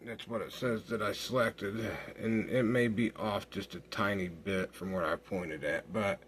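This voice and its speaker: A man giving an informal software walkthrough, thinking aloud in a halting way as he works. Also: fairly low pitch, steady tone, a little hiss or hum. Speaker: software tutorial host. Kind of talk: informal screen-recorded walkthrough.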